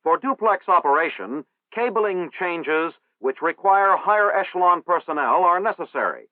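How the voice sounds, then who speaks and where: A man narrating a military training film in a formal, measured, instructional manner. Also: high voice, animated, dry recording. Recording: old 1960s film soundtrack, tinny.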